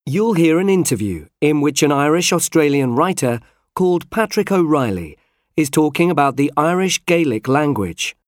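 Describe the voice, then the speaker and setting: Male announcer, formal and deliberate, slightly higher voice, dry studio read. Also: expressive, dry recording. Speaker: exam announcer. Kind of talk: reading test instructions.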